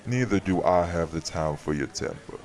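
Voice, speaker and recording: An actor playing a stern, dry-witted community guardian with a formal, sardonic, measured delivery in an audio roleplay. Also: male voice, low voice, darkish tone, close to an everyday voice. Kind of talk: audio roleplay acting, dramatic dialogue.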